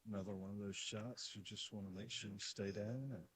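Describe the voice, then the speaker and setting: A man co-commentating a live pool match stream in a bar, calm and plainspoken. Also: lowish voice, a little fast, husky. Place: bar near a pool table.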